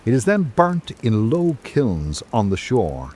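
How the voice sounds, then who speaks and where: A man reading scripted literary narration in a measured, observational manner. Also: medium pitch, very animated, warm tone, slightly rough. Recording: background noise and ambience.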